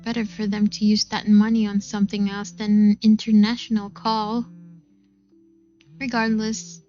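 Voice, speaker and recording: A woman reading a visual novel aloud in an expressive, unhurried first-person narration. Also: medium pitch, highs cut off. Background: game music.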